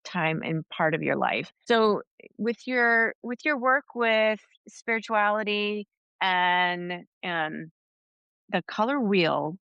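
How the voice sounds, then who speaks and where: Woman podcast host, smooth and clear voice, dry close sound, encouraging interviewer. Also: mid-range voice, animated, slow, fairly bright tone, no room sound, dull audio. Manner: encouraging, gives short affirmations. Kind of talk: casual interview conversation.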